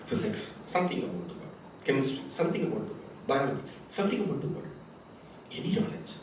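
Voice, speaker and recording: A man's voice: medium pitch, noisy background. A man whose first language is Tamil, giving a spiritual discourse, asking rhetorical questions and reciting Sanskrit verses. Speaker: spiritual teacher. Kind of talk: spiritual discourse to a camp audience. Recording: very echoey, from far off, with crickets.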